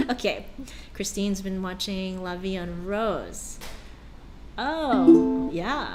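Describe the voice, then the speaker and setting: Woman, ukulele teacher, chatty, unhurried, calls out strums and chords, ukulele strumming behind her. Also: medium pitch, poor audio. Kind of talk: casual live jam lesson. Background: ukulele playing.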